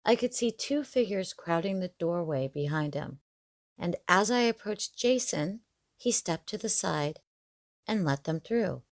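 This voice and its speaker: A woman narrating a scripted story in the first person, in a measured, expressive storytelling manner. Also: on the low side, dry recording, studio quality.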